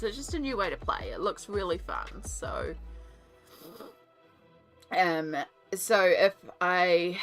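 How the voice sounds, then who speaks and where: An Australian woman with a cold, her voice lowered and coughing, chatting casually and rambling with many ums while she colours on a stream. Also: a bit bright. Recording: faint music behind.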